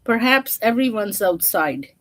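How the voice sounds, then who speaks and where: A woman voicing a gentle, polite, bewildered rich father from a children's storybook, wondering and questioning. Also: mid-range voice, big pitch swings, measured pace.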